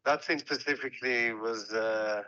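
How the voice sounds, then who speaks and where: A film director from Israel with an Israeli accent, speaking haltingly and repeating words. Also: male voice, medium pitch, bright, forceful tone, dull audio.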